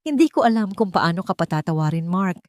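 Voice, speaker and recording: A woman's voice: on the low side, expressive, fast talker, no echo, clean studio sound, close to an everyday voice. A woman voicing a hurt, guarded wife and mother in an audio drama, with a pained, reproachful manner.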